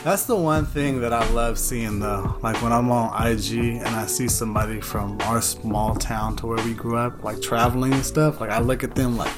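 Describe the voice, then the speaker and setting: An upbeat, slangy speaker of middling pitch chats casually, leaning on street slang. Music plays clearly behind the talk. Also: steady tone, normal speed, hardly any pauses. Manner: slangy and upbeat, says dope a lot. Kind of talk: casual chat.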